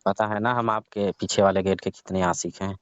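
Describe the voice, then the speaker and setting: Man playing a sulky, low-voiced jealous young lover. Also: steady tone, normal speed, smooth, no room sound, lightly acted. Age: young adult. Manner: sullen, curt, insistent. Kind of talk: staged comic phone-call conversation. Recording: dull, lo-fi phone call recording.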